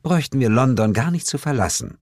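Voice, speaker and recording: A man's voice: mid-range voice, lively intonation, fast talker, darkish tone, dry recording, clean studio sound, voice pushed up, natural voice. Man voicing a pert, teasing woman. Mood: dry correcting. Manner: pert, argumentative.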